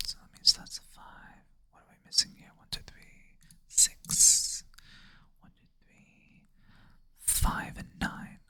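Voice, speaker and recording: ASMR whisperer, sleepy and self-deprecating, bright airy close-mic whisper. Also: slow. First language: Swedish. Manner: soft whisper, thinking aloud, self-deprecating. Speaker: ASMR creator. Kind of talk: whispered ASMR puzzle play-through.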